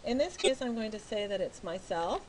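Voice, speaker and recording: A middle-aged American woman from California, a teacher talking slowly and patiently through each step of filling in a form online. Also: medium pitch, very animated, a little mellow, slight background noise, rough recording. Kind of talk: screen walkthrough tutorial.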